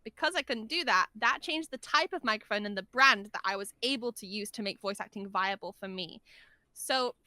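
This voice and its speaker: A woman's voice: mid-range voice, moderate pace, sharp tone, no echo. A woman in a casual interview, giving opinionated, emphatic advice as a guest voice actor, recorded at home on a dynamic microphone. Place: large untreated room at home.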